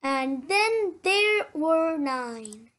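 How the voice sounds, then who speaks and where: A very high, bright young voice reads a picture book aloud as storyteller. The reading is very slow and lively, with sound words exclaimed dramatically.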